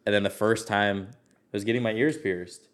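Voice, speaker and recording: A man's voice: fairly low pitch, steady tone, normal speed. A young man from Southern California, a podcast guest, talking in an easygoing, rambling, slangy way. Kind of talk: casual podcast chat.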